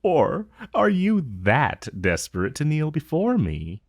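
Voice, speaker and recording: A man's voice: on the high side, wildly swooping pitch, no echo, heavily acted. A man playing an ancient dragon in human form, haughty and teasing with a vague menace, in a theatrical, condescending purr for a fantasy ASMR roleplay. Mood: mocking, amused. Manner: slow, theatrical, condescending purr with mocking endearments. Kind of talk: fantasy ASMR roleplay audio, addressing the listener.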